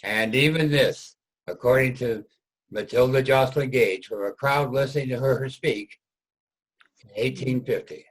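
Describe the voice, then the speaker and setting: A man's voice: medium pitch, a little slow, slightly rough, poor audio. A man narrating a scripted historical reader's theater piece.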